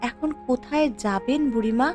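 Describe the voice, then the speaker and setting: A woman voicing a kind, humble younger sister in a moral-story cartoon, speaking gently and respectfully. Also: high-pitched, normal speed, music in the background, natural voice. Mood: gentle, puzzled.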